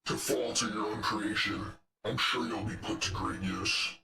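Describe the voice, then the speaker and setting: A man's voice: on the low side, steady tone, unhurried, sharp tone, recorded from a distance, heavily acted. A man voicing a menacing, arrogant robot henchman in a video game, fiery and sadistic, with taunting, condescending lines. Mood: contemptuous. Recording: echoey room, off the microphone.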